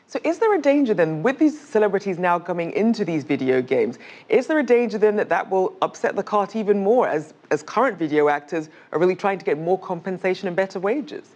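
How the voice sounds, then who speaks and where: A woman's voice: medium pitch, animated, a little fast, a little mellow. A woman hosting a broadcast interview, putting questions to a guest, on a slightly muffled recording. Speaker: interviewer, news host.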